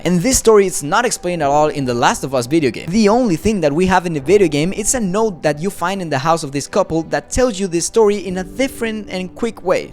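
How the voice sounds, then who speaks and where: Lively video essayist over background music. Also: mid-range voice. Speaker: video essay host and reviewer. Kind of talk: scripted video essay with casual joking asides.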